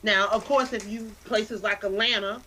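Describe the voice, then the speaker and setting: A woman from South Carolina with a Southern accent talks animatedly as an interview guest. She often repeats words as she builds up a point, with a preacherly drive. Her voice sounds bright and forceful. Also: medium pitch, fairly even intonation, moderate pace, slight background noise, low-quality recording. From Anderson, South Carolina. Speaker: guest, literacy campaign founder. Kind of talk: casual interview talk.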